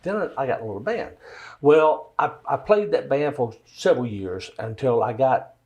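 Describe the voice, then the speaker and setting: A man with a strong Southern US accent speaks at an everyday male pitch. He rambles through anecdotes in a folksy, conversational way, like a guest in a relaxed interview. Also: normal speed. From Georgia, United States. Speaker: interview guest, songwriter and singer. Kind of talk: casual interview storytelling.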